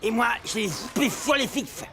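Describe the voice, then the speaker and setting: A woman's voice: on the high side, expressive, measured pace, music in the background. A woman voicing a goofy, pushy schoolboy in a cartoon, with muddled, childlike phrasing. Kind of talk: French animated cartoon voice acting.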